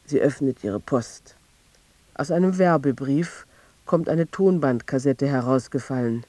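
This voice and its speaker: An actor playing a quiet, detached storyteller, narrating a radio play in a measured, understated way. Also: male voice, mid-range voice, no room sound, close to an everyday voice. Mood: quiet melancholy.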